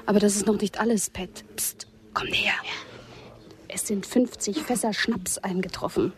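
Woman as a knowing, mid-pitched saloon girl. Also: fairly even intonation, moderate pace, close to an everyday voice. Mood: secretive. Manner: confiding, teasing, guarded. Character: knowing, coquettish Wild West canteen girl. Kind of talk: German radio drama acting.

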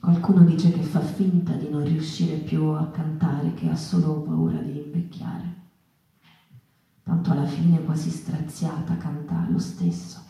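A woman performing theatrical storytelling narration in measured, deliberate short phrases. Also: low-pitched, gravelly, dark tone. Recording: echoey, distant stage sound with music.

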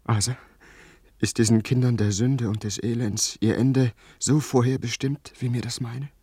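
An actor playing an anguished, brooding young lord, breathy and melodramatic, in a radio play. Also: male voice, medium pitch, steady tone, normal speed, no room sound, close to an everyday voice. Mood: bitter, brooding. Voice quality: breathy, hushed. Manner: haunted, melodramatic. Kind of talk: German radio play acting.

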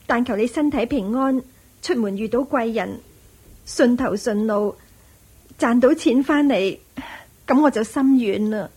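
A woman's voice: fairly high pitch, unhurried, a little mellow, husky, poor audio. An actress playing a hard-working, caring young wife and mother in a radio drama, solicitous and resigned, with a Hong Kong Cantonese accent. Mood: sad, resigned. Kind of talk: Cantonese-language Buddhist radio drama acting.

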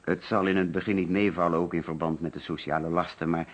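An actor playing a distracted, anxious male insurance agent in a Dutch radio play, halting and evasive as he hides his trouble. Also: deep voice, little pitch movement. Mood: polite, strained. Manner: halting, evasive, absent-minded. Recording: dull, lo-fi old radio recording.

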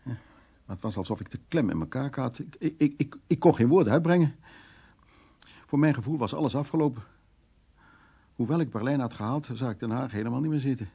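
In a radio drama, a brusque male art restorer. His voice is dark, husky and gravelly, and he talks in hesitant, muttered repetitions, often as if to himself. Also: medium pitch, expressive, brisk, long pauses. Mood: agitated recollection. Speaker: actor in a radio play. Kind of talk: Dutch radio drama acting, dialogue and soliloquy.

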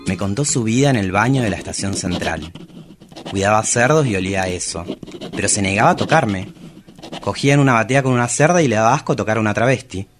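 Low-voiced, bawdy Argentine travesti poet played by a man. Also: lightly acted. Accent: Argentine Spanish. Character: bawdy, self-dramatizing travesti poet keeping a diary. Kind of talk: radio drama monologue and dialogue.

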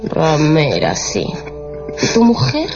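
An actress playing a capricious, volatile young courtesan in an impassioned, theatrical radio drama style. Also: female voice, sits low, wildly swooping pitch, takes it slowly, full character voice. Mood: scornful, fed up. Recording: dull radio production with music underneath.

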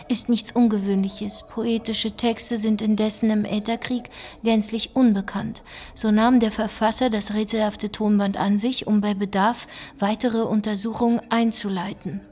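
Actress doing sober sceptical woman investigator in a radio play, precise, measured. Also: medium pitch, a little mellow, lightly acted. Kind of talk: radio play dialogue and document reading.

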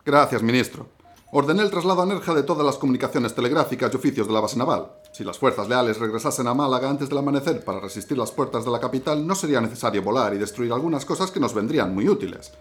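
Man acting a quick-speaking, dutiful naval lieutenant. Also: medium pitch, animated, a little hiss or hum, lightly acted. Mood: formal, resigned. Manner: formal, orderly. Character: dutiful, resigned naval lieutenant holding his post. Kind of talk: historical audio drama acting.

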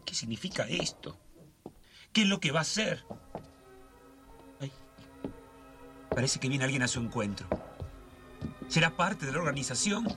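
A man playing a paranoid, tormented, easily terrified character in a radio melodrama, with an anguished, halting delivery. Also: mid-range voice, very animated. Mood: frightened, suspicious. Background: music.

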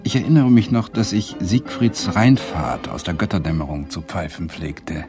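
An actor playing a lecturer who narrates fond memories in a radio drama, in a reflective, measured, nostalgic way. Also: male voice, deep voice, music in the background, lightly acted. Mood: wistful, reflective. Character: male Swedish guest lecturer fondly recalling happy days.

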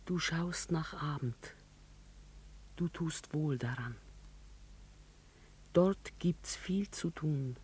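A woman's voice: low-pitched, very slow, pauses often, darkish tone, husky, exaggerated voice. An actress playing a devout, pleading mother in a literary radio play, speaking solemnly and fervently like an incantation. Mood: solemn, hushed. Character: devout, pleading mother of an animal-keeping family.

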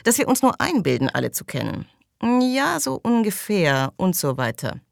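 A woman voicing talkative, opinionated settlers who grumble and hold forth in an animated way in an audiobook. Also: mid-range voice, moderate pace, creaky, dry recording, clean studio sound, voice pushed up. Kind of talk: audiobook character dialogue.

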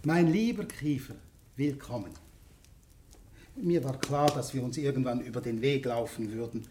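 An actor playing a pompous food industry executive in a German radio drama, formal, measured and self-important. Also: male voice, mid-range voice, warm tone, slight background noise, natural voice. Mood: smoothly menacing. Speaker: gala speaker.

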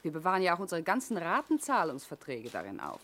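An actress playing a discreet, dutiful office employee who answers politely and a little guardedly in a 1970s radio crime play. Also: female voice, fairly low pitch, huge pitch swings, quick pace, a bit bright, full character voice. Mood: polite, earnest.

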